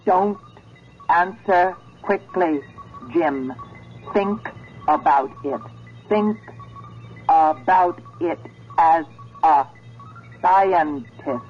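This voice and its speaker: A sinister thinking machine in vintage radio drama. It speaks very slowly in a fairly high male voice, pressing its point with calm menace and deliberate breaks. The sound is dull and old. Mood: coldly persuasive. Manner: slow, halting, deliberate phrasing. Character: sinister talking computer, calmly menacing and insistent. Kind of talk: 1970s radio drama acting. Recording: dull vintage radio recording, faint music.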